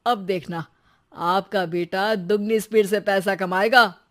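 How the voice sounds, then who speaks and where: A woman's voice: mid-range voice, fairly even intonation, unhurried, lightly acted. A woman voicing an eager young married son in a cartoon story, with an earnest, conversational manner. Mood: excited.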